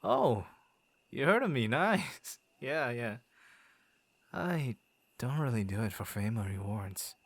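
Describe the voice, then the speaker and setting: A man's voice: medium pitch, very animated, slow pace, gravelly, long pauses, fairly bright tone, no room sound, heavily acted. A man voicing a friendly, bashful werewolf who guards his forest, stammering and playfully theatrical, in an ASMR boyfriend roleplay. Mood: amused, chuffed.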